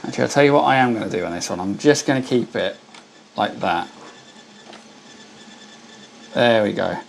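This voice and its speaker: A hoarse man hosting a casual live stream, chatting and greeting viewers by name. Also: fairly low pitch, lively intonation, moderate pace, a little hiss or hum. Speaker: livestream host.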